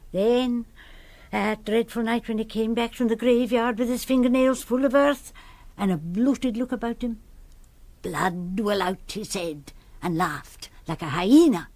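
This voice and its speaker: An actress playing a plain-spoken, grimly confiding Scottish widow and governess in a radio play, speaking dramatically. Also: female voice, on the high side, darkish tone, no room sound.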